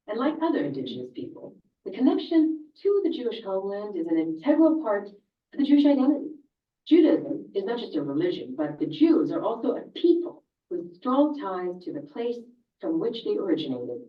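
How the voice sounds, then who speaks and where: A woman whose first language is Hebrew, narrating a scripted explainer video and driving her points home with emphatic rhetorical questions. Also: mid-range voice. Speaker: video presenter. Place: video played in a room. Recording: distant echoey playback, dull sound.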